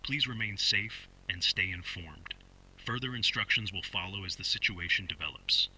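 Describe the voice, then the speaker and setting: A man playing a stern police spokesman reading a scripted emergency broadcast in a formal, measured, official manner with a husky voice. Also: low voice, pushed and bright, heavily acted, low-quality recording. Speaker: public emergency announcer.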